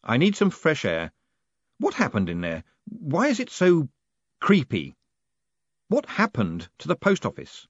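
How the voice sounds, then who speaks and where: A sharp-tongued swindler, as a male narrator voices him, snaps out his questions in a quick, sarcastic voice. The pitch moves a lot, and the recording is dry and muffled. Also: wildly swooping pitch, husky, no echo, full character voice. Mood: impatient. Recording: dull lo-fi recording.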